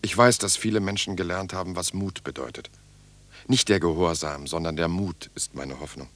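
An actor playing an earnest husband in the ruins of war, in radio drama dialogue. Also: male voice, low voice, normal speed, a bit bright. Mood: earnest hope.

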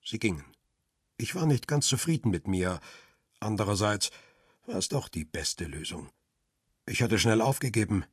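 An actor playing a wary middle-aged paparazzo who tells his own story in a radio crime drama, husky and terse. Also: on the low side, dry recording, clean studio sound. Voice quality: husky, rough. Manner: reflective, terse first-person narration.

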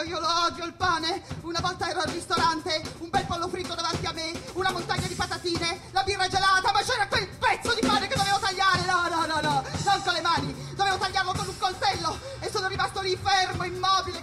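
An actress playing a sardonic, jittery jazz musician in a rambling, conversational theatrical monologue. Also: female voice, extremely high pitch, fairly even intonation, fast, hardly any pauses, over loud music. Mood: nervy, musing.

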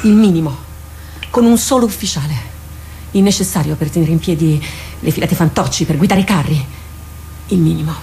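A woman acting a driven war captain in a radio drama, plotting battle in an intense, deliberate, half-murmured delivery. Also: sits low, dark tone, slight background noise. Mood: grave, determined.

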